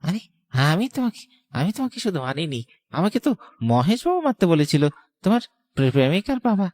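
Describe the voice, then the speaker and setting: A man's voice: high-pitched, sing-song, a little fast, a little mellow. A brash hired killer from a cartoon horror tale. The voice swoops wildly up and down. He blusters like a gang boss, exaggerated in every phrase, with a coward underneath. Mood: frightened, pleading. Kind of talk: animated horror story acting.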